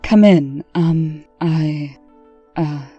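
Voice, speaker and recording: An earnest, reserved fairy-tale princess, acted by a woman in a comic dub. Her voice is low and very mellow, and she speaks in a measured, restrained way. Soft music sits behind her. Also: breathy edge, dry recording, lo-fi sound, heavily acted. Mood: hesitant. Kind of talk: dramatic comic dub acting.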